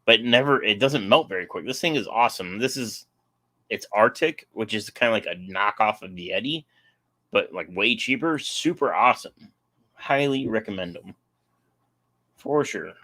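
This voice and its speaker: A man's voice: fairly low pitch, sharp tone. A young man casually hosting a live stream, rambling and answering chat, his voice getting sore.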